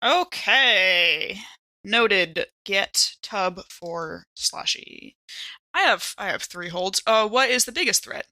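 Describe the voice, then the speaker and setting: A woman's voice: mid-range voice, lively intonation, slow pace, bright, forceful tone, no room sound. A woman chatting casually and thinking aloud as a player in a tabletop role-playing game.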